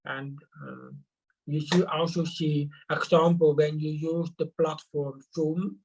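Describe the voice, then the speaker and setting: A man answering interview questions in a halting way, with many fillers and restarts. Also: a little high, fairly even intonation, creaky, a little mellow. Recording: dull lo-fi recording.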